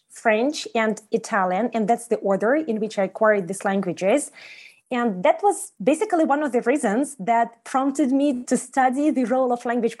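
A woman whose first language is Russian, a researcher speaking in a measured way with some hesitation. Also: a little high, darkish tone. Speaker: researcher guest. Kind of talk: academic interview.

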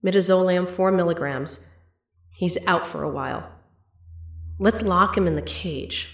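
A woman playing a bold investigator leading a rescue in an audio drama, brisk, commanding and reassuring. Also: lowish voice. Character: bold, take-charge female investigator on a rescue. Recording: echoey room with clear background noise.

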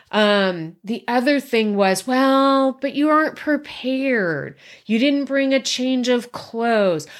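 A woman in her fifties, a life coach telling a personal story in a slow, reflective way with repeated phrases. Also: mid-range voice. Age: 50s.

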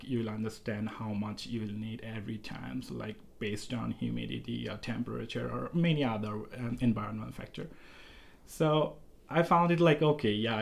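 A young man from Bangladesh, a PhD student, answering interview questions in a halting way with many pauses and restarts. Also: medium pitch, vocal fry. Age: young adult.

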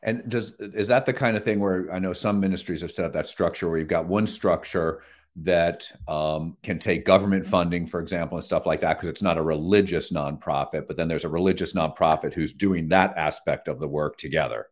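A male radio host with a deep, low-pitched voice leads a friendly, conversational interview. He speaks with easy fillers and a relaxed interviewer's flow. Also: brisk.

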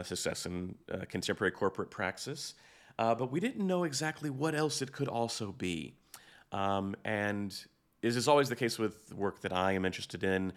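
A man hosting a podcast in a conversational interviewing style, haltingly repeating phrases as he goes. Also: fairly low pitch. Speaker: podcast host.

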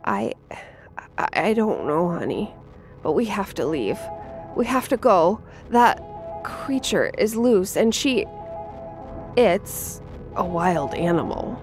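A woman reading the lines of a frightened, stammering father who pleads with a wild animal and then tries to calm his child. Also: on the low side, takes it slowly, pauses often, full character voice. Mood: shaken. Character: frightened middle-aged man, shaken father. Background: music.

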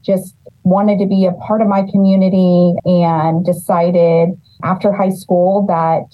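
Californian woman guest, lower pitch, earnest steady explaining, muffled lo-fi audio. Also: unhurried. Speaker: city development director, guest. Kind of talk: interview answers. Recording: dull lo-fi audio.

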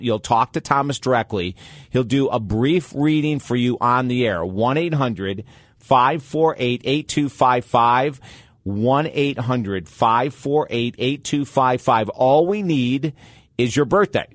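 A man's voice: mid-range voice, a little slow, no echo, studio quality. Upbeat male radio talk host. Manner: upbeat, enthusiastic promo patter.

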